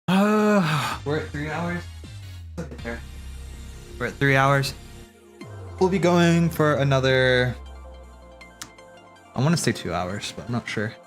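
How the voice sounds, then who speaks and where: A man hosting a livestream, commenting casually on game cutscenes in an excitable, rambling, slangy way. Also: medium pitch, unhurried, pauses often. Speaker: livestream host. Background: game soundtrack music.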